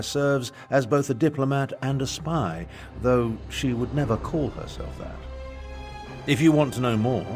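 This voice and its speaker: A pompous, animated old battle mage. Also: male voice, mid-range voice. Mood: explanatory. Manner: pompous, haughty, theatrical. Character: pompous older male battle mage and imperial advisor. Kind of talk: video game character dialogue. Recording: music behind the voice.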